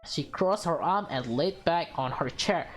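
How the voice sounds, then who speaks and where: A woman reads a visual novel aloud as an amateur narrator, halting and rereading lines as she stumbles. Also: low-pitched, lively intonation. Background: background music.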